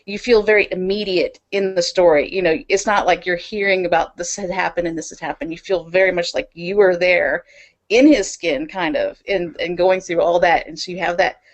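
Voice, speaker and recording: A woman's voice: on the low side, fairly even intonation, moderate pace. A woman co-hosting a podcast, talking in a casual conversational style. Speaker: podcast co-host. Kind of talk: casual chat.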